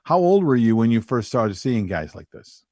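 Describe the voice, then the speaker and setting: A man in a middle register runs a casual interview. He keeps cutting in with quick, probing questions and a playful edge. His voice is dry and close, with no room sound around it. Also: medium pitch, big pitch swings, a little mellow, dry recording. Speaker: interview host.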